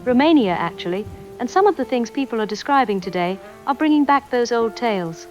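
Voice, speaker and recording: An actress playing an uneasy, earnest radio phone-in caller, hesitantly recounting old family folklore on a dull line. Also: female voice, on the high side, expressive, fairly quick, soft background music, natural voice. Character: uneasy woman caller recalling grandmother's old-country warnings. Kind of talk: radio drama phone-in storytelling. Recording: dull band-limited phone-in sound.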